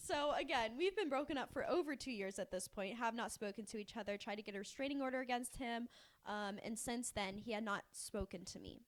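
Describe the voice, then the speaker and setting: High-voiced young woman telling a story. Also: fairly quick. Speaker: podcast co-host. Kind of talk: casual storytelling chat.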